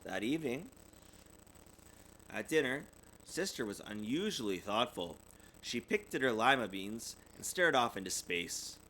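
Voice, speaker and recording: Man, animated storybook narration, expressive swooping delivery, parent reading aloud, occasional stumbles. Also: medium pitch, a little slow, slight background noise, poor audio.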